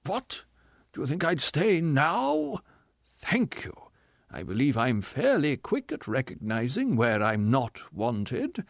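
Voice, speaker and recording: A man voicing a bitter, self-pitying male ghost who declaims and shouts his lines in a drawn-out, theatrical way. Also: medium pitch, huge pitch swings, vocal fry, no room sound, clean studio sound, full character voice. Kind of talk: audiobook character reading.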